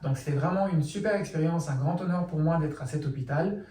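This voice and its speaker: A middle-aged man from Mali, a psychologist answering interview questions in calm, measured explanations. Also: on the high side. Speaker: psychologist guest. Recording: echoey room, from far off.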